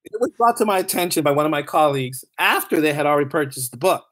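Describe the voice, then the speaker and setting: A man's voice: on the high side, animated, fast talker, a bit bright. A man chatting casually as a podcast guest, informal and often repeating his phrases as he goes. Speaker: podcast guest, author and audio dramatist. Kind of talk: casual chat, anecdotes.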